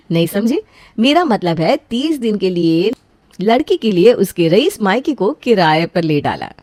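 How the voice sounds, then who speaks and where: Female storyteller, scripted narration, mid-pitched, dry close recording. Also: big pitch swings, slow, darkish tone, no room sound.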